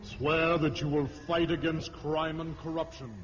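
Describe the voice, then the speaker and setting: A man's voice: fairly high pitch, flat delivery, unhurried, lightly acted. A man playing a grim, commanding vigilante hero and fatherly mentor in a comic book drama, solemn and authoritative. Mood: solemn, stern. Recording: long reverb, muffled, music underneath.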